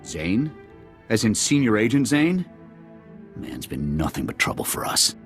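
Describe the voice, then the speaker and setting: An actor playing a blunt, loyal army sniper officer with clipped military speech in a video game. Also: male voice, fairly low pitch, wildly swooping pitch, a little slow, fairly bright tone, soft background music, heavily acted. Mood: irritated.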